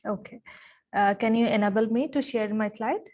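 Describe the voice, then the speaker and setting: A woman's voice: on the high side, normal speed, darkish tone. Polite young woman presenter. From Bangladesh. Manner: polite and brief. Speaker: conference presenter. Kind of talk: casual exchange on a video call. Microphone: video call.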